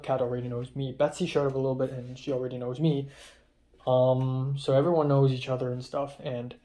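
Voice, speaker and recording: Rambling young man. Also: fairly high pitch. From Hong Kong. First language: Cantonese. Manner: rambling, excitable, swears a lot, with many fillers. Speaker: vlogger. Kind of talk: casual diary vlog.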